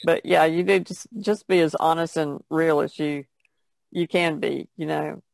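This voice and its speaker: A woman's voice: deep voice, fairly even intonation, unhurried, creaky, no room sound. A woman chatting casually as a podcast guest on an online call, plain-spoken and matter-of-fact. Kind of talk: casual chat.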